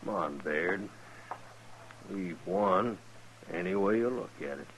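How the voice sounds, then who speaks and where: An actor playing a menacing, smug rancher with an American accent, taunting and combative, in 1950s radio drama style. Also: male voice, sits low, slow pace. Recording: dull, hissy old radio recording.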